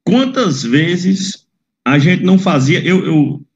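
A man's voice: high voice, animated, takes it slowly, a little mellow, slight background noise. A man talking casually and frankly, with some slang and swearing, on a video call. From Northeast Brazil. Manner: expansive, frank, blunt with slang and mild swearing. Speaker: guest. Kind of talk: casual chat. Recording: dull lo-fi video call audio.

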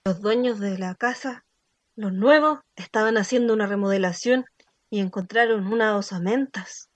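A woman's voice: medium pitch, normal speed, no room sound, highs cut off, natural voice. A woman playing a frank, earthy neighbour in a radio drama, with a Chilean accent and blunt, colloquial talk. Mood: gossiping.

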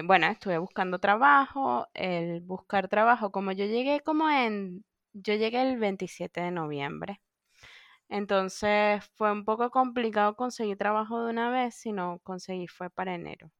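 A woman co-hosting a podcast, telling stories in animated casual chat with quoted asides. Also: mid-range voice, unhurried, no echo, muffled recording. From Venezuela. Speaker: podcast co-host.

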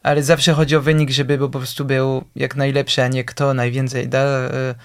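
Young man, smooth clear tone, hesitant speech, many fillers. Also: medium pitch, little pitch movement, normal speed. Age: young adult. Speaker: guest, musician. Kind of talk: casual interview talk.

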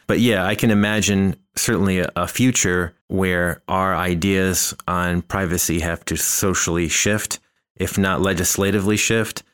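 Deep, smooth-voiced man musing aloud. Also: steady tone, normal speed, a bit bright. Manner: thoughtful, rambling, often restarts phrases. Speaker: podcast host. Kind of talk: casual conversational discussion.

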